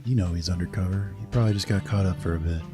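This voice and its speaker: Male detective, acted: sardonic, tired, profane, lower register, radio crime drama with music bed. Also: very fast, natural voice. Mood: wry, defensive. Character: wisecracking, weary, loyal male police detective. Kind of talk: audio drama acting.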